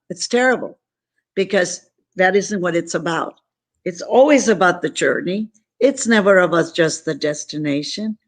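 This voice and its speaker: A woman from San Antonio, Texas, whose first language was Spanish, speaking as an interview guest in an emphatic, earnest, repetitive way. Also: mid-range voice. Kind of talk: motivational interview talk.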